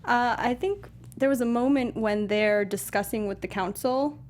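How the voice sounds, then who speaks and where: A woman's voice: on the high side, expressive, normal speed. A woman co-hosting a film review show, chatting casually about movies.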